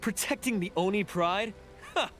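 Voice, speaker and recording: An actor playing a proud, resentful young oni outcast in a video game, scornful and dramatic in manner. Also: male voice, high-pitched, moderate pace, fairly bright tone. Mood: scornful, accusing. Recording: game music behind the voice.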